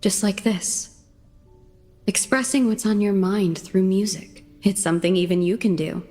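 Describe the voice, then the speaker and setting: Serene, enigmatic female musician for a video game, played by a woman: gentle, poetic, medium pitch, light music underneath. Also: a little slow, lightly acted. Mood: gentle, encouraging. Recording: faint music behind.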